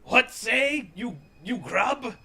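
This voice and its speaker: A man's voice: very high voice, lively intonation, drawn-out words, sharp tone, soft background music, voice pushed up, full character voice. A man voicing an imperious, snarling tyrant king in a parody story. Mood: raging. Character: raging, snarling decadent villain king.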